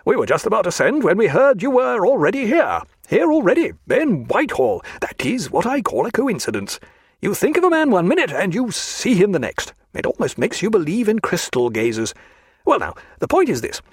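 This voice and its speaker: A man voicing a bluff, hearty old admiral who blusters loudly in old-fashioned naval talk. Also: high voice, wildly swooping pitch, moderate pace, husky, no echo, studio quality, pitched above the performer's own voice, full character voice.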